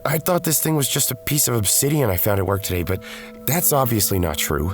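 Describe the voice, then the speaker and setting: A man's voice: medium pitch, big pitch swings, brisk, lightly acted. A man playing a weary, defensive former hero pulled back into duty in an audio drama, with music behind him. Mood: startled, defensive. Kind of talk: audio drama acting with first-person narration.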